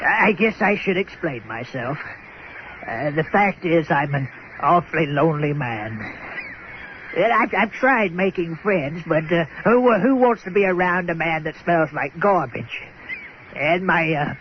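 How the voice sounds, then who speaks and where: An actor playing a lonely, sheepish, seedy garbage scow captain in a comic radio play, folksy and stammering. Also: male voice, fairly high pitch, natural voice. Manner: stammering, hemming and hawing, folksy. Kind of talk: comic audio drama acting in old-time radio manner. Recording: dull lo-fi radio sound, faint noise.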